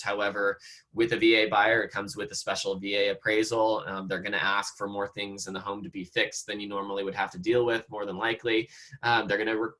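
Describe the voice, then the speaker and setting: A man with an American accent, slightly hoarse, teaching real estate agents over a video call in a casual way, with lots of fillers and restarts. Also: fairly low pitch, sharp tone, poor audio. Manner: conversational, many fillers and restarts. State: slightly hoarse, losing his voice. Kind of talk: online training talk.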